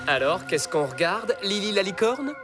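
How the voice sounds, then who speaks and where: A woman's voice: mid-range voice, sing-song, moderate pace, over music, close to an everyday voice. A woman voicing a clever, sly young male fox who leads a gang of animals in a cartoon. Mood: confident. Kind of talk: French cartoon dubbing.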